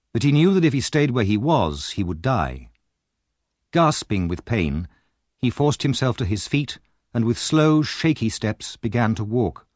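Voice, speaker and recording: A male audiobook narrator reads a story with a very expressive, moving pitch. The delivery is measured and dramatic, recorded dry and close. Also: no echo.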